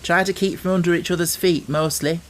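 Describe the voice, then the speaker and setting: A man's voice: sits high, fairly even intonation, brisk, natural voice. A man plays a young Yorkshire housemaid in a storybook, homely and talkative. She has a stubborn streak and speaks in broad dialect, over a slightly noisy recording. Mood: chatty, fond. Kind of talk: audiobook character voice.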